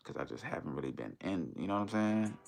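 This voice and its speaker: A man's voice: deep voice, very animated, quick pace, gravelly, a little mellow, faint music behind. An American man from the Maryland and Washington DC area hosting a casual livestream, chatting to viewers in slangy, conversational phrases. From United States, Maryland and Washington DC area. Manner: conversational, uses slang and tag phrases like "you know".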